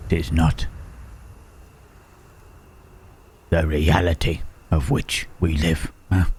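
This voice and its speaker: A man playing a gentle, melancholy Frankenstein's monster in an intimate ASMR roleplay, speaking haltingly and formally in a husky, breathy rasp. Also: rumbling low, level tone, full character voice. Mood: wry, resigned. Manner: slow, halting, archaic formal phrasing with long pauses.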